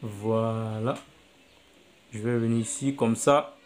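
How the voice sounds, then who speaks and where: A man's voice: medium pitch, fairly even intonation, slow pace, noisy background. Man walking through an app tutorial. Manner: step-by-step, repetitive, thinking aloud. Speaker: video editing instructor. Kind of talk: screen-recorded app tutorial.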